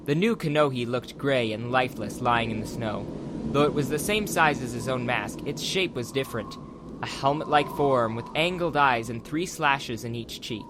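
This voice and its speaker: A man's voice: mid-range voice, normal speed, background noise. A man reading scripted audiobook narration as the narrator of a dramatized story. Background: background music.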